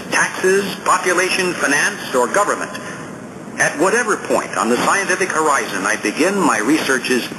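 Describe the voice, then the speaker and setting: A man reads a political essay aloud as an audiobook narrator, in a deliberate, emphatic, oratorical manner. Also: medium pitch. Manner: deliberate, emphatic oratorical reading with rhetorical questions and lists. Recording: clearly noisy audiobook recording.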